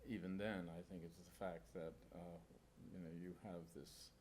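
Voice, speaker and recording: A low-voiced man talks at a town board meeting. He stops and restarts often and hunts for words. A faint hum of room noise sits behind him. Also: level tone, a little mellow. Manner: halting, many fillers and restarts. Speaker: select board member. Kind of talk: public meeting discussion.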